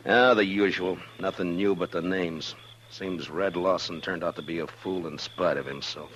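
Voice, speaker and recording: A man's voice: deep voice, steady tone, normal speed, noisy background, lightly acted. A man playing a weary, plain-spoken western marshal in a 1950s radio drama, laconic and understated with an air of authority. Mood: businesslike. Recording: dull old 1950s radio recording, music behind.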